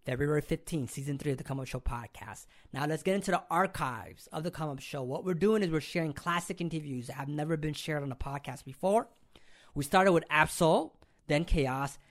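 A man hosting a hip-hop podcast and interview in a casual, slangy, informal way. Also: on the high side.

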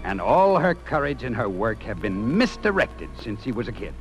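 A man's voice: medium pitch, very animated, moderate pace, soft background music, close to an everyday voice. An American man acting in an old-time radio drama, playing a stern, impassioned talent judge with earnest, theatrical speechifying. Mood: fervent. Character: stern, impassioned male music talent judge, remorseful underneath. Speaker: actor. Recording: noisy old radio recording.

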